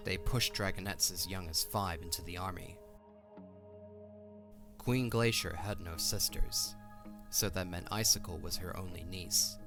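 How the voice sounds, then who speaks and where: Deep-voiced male storyteller over music. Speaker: narrator. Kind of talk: scripted audio drama storytelling narration.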